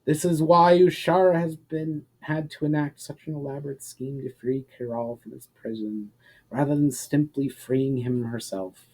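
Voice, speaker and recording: A man's voice: medium pitch, steady tone, darkish tone, slightly rough, lightly acted, poor audio. Man reading as a wise, formal mystic woman. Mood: explanatory. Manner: formal, measured explaining. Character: wise, mysterious woman guide explaining ancient magical laws. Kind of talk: fantasy audiobook dialogue.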